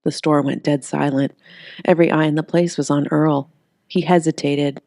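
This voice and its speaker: A woman reading a story aloud in an expressive, scripted narration style. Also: deep voice, moderate pace, no echo, studio quality.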